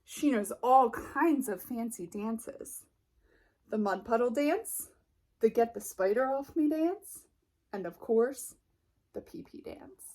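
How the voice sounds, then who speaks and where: A woman reading a children's picture book aloud as narrator, expressively and deliberately, with dramatic pauses. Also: a little high, animated, warm tone. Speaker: storybook reader.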